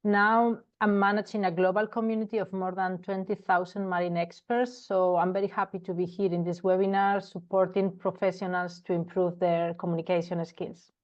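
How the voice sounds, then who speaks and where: Low flat Spanish-accented woman. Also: moderate pace, hardly any pauses, highs cut off. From Spain. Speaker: webinar guest, job platform founder. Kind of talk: prepared self-introduction.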